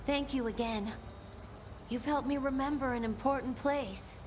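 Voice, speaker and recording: A woman voicing a gentle, wistful male creature in a video game, with a soft, lilting voice. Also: high-pitched, measured pace. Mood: grateful. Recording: game audio with clear background noise.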